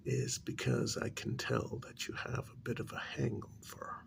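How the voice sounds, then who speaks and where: A man playing a patient middle-aged couples therapist in a soft ASMR role-play, speaking low, often near a whisper, in a measured, halting, placating way. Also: big pitch swings, vocal fry. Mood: gentle, patient.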